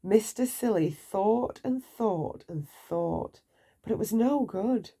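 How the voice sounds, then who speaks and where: A woman narrating a children's bedtime story aloud, with deliberate, expressive phrasing for young listeners. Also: mid-range voice, husky.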